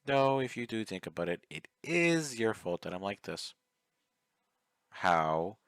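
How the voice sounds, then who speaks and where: Man acting clingy yandere fan, low voice, intimate, coaxing, courteous menace, dry close sound, ASMR roleplay. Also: big pitch swings, a little slow, a bit bright, clear, resonant tone, dry recording, full character voice. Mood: confessional, adoring. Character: obsessive, clingy, soft-spoken young male yandere fan, politely menacing.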